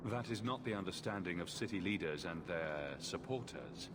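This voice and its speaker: An actor playing a direct, skeptical adventurer hero in a video game, with a dry, questioning delivery. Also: male voice, low voice, lively intonation, moderate pace, noisy background.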